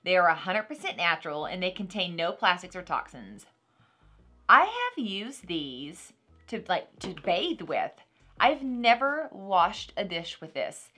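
Woman in her thirties, Texan accent, bright forceful voice, exclamatory, casual unboxing host, light music underneath. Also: on the low side, a little slow. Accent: Texan American. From Texas, United States. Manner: animated, gushing, exclamatory. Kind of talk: casual product unboxing chat. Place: small decorated room at a table, indoors.

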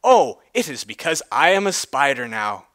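A man plays a naive young female spider in a storybook tale. She sounds bright and bewildered, hesitating as her pitch swings about in a lively way. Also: moderate pace. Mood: hesitant.

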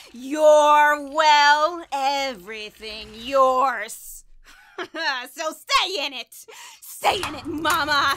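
Radio horror lead: unhinged, guilt-ridden murderess, high sharp voice, halting confession, some background noise. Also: female voice, exaggerated voice. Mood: gloating, manic. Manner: dramatic, halting, broken by manic laughter. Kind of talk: old-time radio horror drama monologue.

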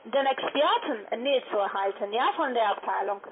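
High-voiced woman with foreign accent, tinny line. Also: animated, brisk, noisy background. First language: not German. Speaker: telephone sales account manager. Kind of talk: scripted cold-call sales talk. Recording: narrow tinny phone line.

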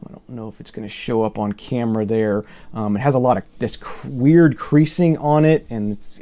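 A man in his thirties talks through a casual record review, his voice mellow and warm at a mid pitch. He rambles with fillers and false starts, now and then mildly exasperated. He sits at desk distance from the camera in a room full of record shelves. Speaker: vinyl collector vlogger.

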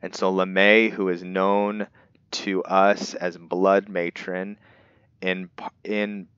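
A man hosting a game playthrough, musing and reading aloud in a halting, casual way. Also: low-pitched, flat delivery, fairly bright tone, smooth, no room sound, lo-fi sound. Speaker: gaming video host.

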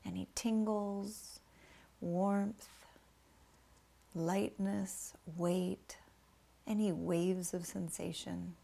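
A woman instructor guiding a slow breathing meditation, often dropping to a soft whisper as she coaches. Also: lowish voice, flat delivery, vocal fry.

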